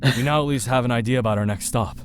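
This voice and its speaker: A man voicing a gruff, blunt older partner in a visual novel. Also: medium pitch, racing, background noise, lightly acted. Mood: approving.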